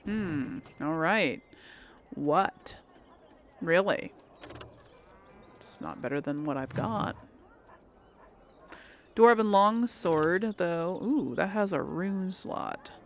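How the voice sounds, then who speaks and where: A woman's voice: fairly low pitch, animated, drawn-out words, long pauses, a little hiss or hum. A woman hosting a casual game playthrough, chatting and thinking aloud as she plays. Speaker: gaming video host. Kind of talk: casual playthrough commentary with role-played dialogue.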